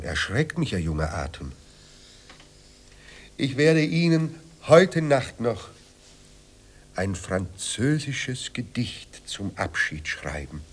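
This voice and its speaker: A man's voice: sits low, slight background noise, full character voice. An actor in a radio drama playing a weary, ironic, hard-drinking male writer, theatrical and wryly courteous. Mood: musing, tender. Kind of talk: German radio drama acting.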